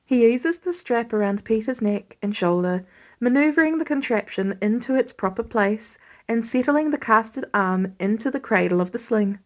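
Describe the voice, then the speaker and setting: Higher-pitched woman reading a story. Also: expressive, normal speed. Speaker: fan fiction podfic reader. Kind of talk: scripted story narration.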